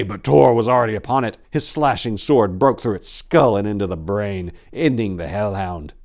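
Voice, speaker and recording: A man narrating dark fantasy horror as a dramatic reading, with a grave, intense, theatrical delivery. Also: lowish voice.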